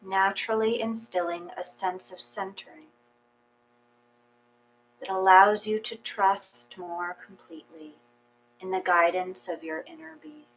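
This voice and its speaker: A woman reading a guided meditation aloud in a slow, calm, measured way with long pauses. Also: mid-range voice, rough recording. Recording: faint mains hum.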